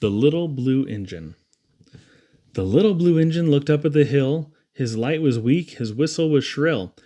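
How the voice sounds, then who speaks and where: A man reading children's poetry aloud in an expressive, theatrical storytelling style with dramatic emphasis. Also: medium pitch, normal speed. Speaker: reader.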